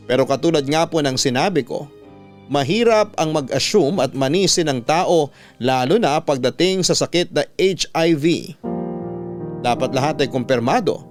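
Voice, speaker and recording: A man with a Filipino accent reading a listener's story as radio host, in dramatic scripted narration. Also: a little high.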